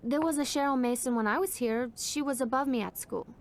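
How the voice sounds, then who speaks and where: A woman's voice: high-pitched, brisk. An actress playing a chatty, reassuring young woman in a video game cutscene. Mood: friendly, curious.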